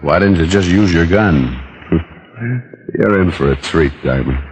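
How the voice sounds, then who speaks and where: A man's voice: sits low, animated, a little slow. An actor playing a smug, threatening crime boss in an old-time radio drama, taunting as he goes. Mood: smug menace. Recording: vintage radio recording with faint noise.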